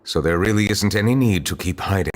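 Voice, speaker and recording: An actor voicing a calm, stern male judge in a video game who speaks in a measured, formal way. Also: low voice, close to an everyday voice. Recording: game audio with soft music behind.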